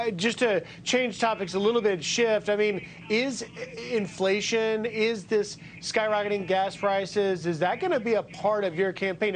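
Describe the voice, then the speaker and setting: High-voiced TV news anchor man. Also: a little hiss or hum. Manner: brisk, pointed questioning. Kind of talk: TV news interview.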